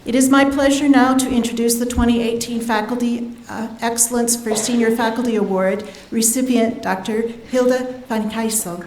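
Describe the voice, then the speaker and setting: A woman's voice: a little high, darkish tone. A woman formally introducing speakers as host of a ceremony. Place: large auditorium stage. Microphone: podium microphone. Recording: echoey hall, faint noise.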